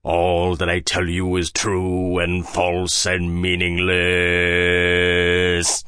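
A man plays a sect leader in a dramatized audiobook. His voice sits very low and close, with a dry sound. He intones with solemn, sardonic authority. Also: very animated, a bit bright, no room sound. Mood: solemn chanting. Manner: slow, solemn intoned chanting. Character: male sect leader and sermonizer, solemn, sardonic, crude-mouthed.

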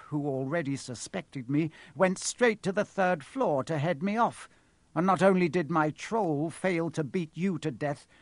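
A coolly menacing male professor villain from a storybook, as a man narrator puts him on. The voice is sharp and somewhat high, gloating and mocking without hurry. The sound is very dry. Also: no room sound, natural voice. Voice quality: cold, sharp. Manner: smug, mocking, unhurried.

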